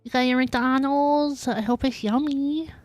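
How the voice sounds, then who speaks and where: A woman's voice: high voice, fairly even intonation, a little slow, no echo, soft background music. A woman livestreaming a game, reacting casually and chatting informally with her viewers. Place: livestream, game audio behind.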